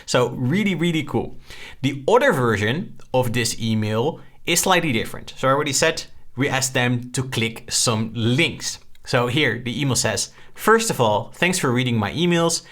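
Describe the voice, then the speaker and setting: A man's voice: medium pitch, expressive, moderate pace, fairly bright tone. A young man with a Dutch accent, chatting casually and upbeat through a screen-share tutorial, often tagging "right?" onto his points. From Netherlands. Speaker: online course creator.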